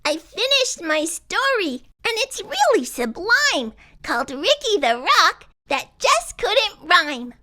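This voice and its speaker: A woman's voice: very high voice, very animated, slow, hardly any pauses, pushed and bright, voice pushed up, full character voice. A woman voicing a self-doubting young schoolboy rock in a children's picture book, whiny and protesting. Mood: proud, shy.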